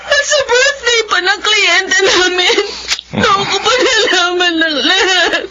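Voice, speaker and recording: Radio drama, heartbroken young Filipino woman: very high, tearful, over a noisy track. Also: takes it slowly, lo-fi sound. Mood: hurt, furious.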